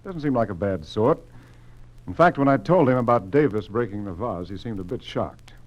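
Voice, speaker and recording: A man's voice: on the low side, lively intonation, moderate pace, muffled recording. A man playing a courteous, principled American small-town museum director in a radio drama, restrained and plain-spoken. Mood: reassuring, uneasy. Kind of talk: mid-century radio drama acting. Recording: noisy, crackly old radio recording.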